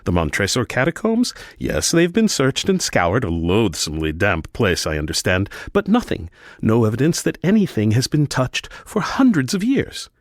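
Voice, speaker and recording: An actor playing a smug, eccentric asylum doctor in a gothic radio drama, genteel and theatrical. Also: male voice, medium pitch, wildly swooping pitch, normal speed, no echo, clean studio sound. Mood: smug explaining. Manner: theatrical, genteel, gleefully pompous. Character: eccentric, smug asylum doctor showing off his mad patients.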